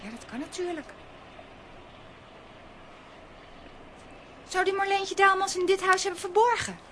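A woman's voice: extremely high pitch, animated, fast talker, fairly bright tone, a little hiss or hum. A woman voicing a spirited, squeamish young girl adventurer in a children's audio drama. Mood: curious, hushed.

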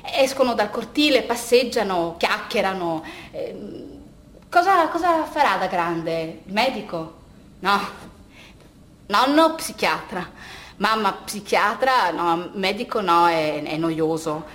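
A woman performing a theatrical monologue, telling a story dramatically with halting repetitions. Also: medium pitch, lively intonation, fairly bright tone. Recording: very echoey room with faint noise.

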